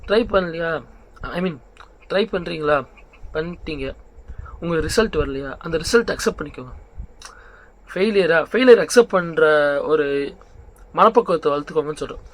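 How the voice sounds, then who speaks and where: Higher-voiced young man giving advice. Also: rough recording. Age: young adult. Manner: conversational, checks in with rhetorical questions. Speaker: vlog host. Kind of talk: informal motivational advice to camera, mixing English words and phrases.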